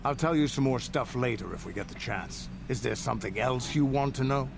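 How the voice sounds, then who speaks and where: An actor playing a proud, gruff veteran alien warrior in a video game, telling war stories in a gravelly, deliberate, boastful manner. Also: male voice, medium pitch, close to an everyday voice. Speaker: game character. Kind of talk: dramatic scripted video game dialogue. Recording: game audio under steady noise.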